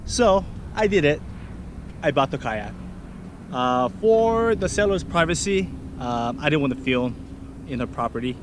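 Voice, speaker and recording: An eager man in his thirties chats casually to his camera, slow and unhurried in his delivery. His voice sits a bit high for a man. Clear road and wind noise run under everything he says. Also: lively intonation. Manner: upbeat, eager, loose with fillers. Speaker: fishing vlogger. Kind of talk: casual vlog talk to camera. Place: in a pickup truck, then an outdoor parking lot. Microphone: action camera on dash, then handheld at arm's length.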